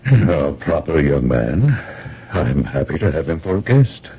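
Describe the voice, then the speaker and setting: An actor playing a stately, dismissive master of the house in a radio drama, with a gravelly, husky bass voice. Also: male voice, sing-song, unhurried, heavily acted. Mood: genial, settled. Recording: dull, lo-fi vintage radio recording.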